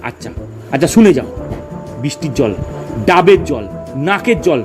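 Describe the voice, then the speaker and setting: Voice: medium pitch, sing-song, normal speed, darkish tone, faint music behind, natural voice. A performer in a comic audio drama playing cantankerous, boastful village men who quibble pedantically and argue emphatically. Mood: scornful, bragging. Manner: argumentative, emphatic, rambling lists.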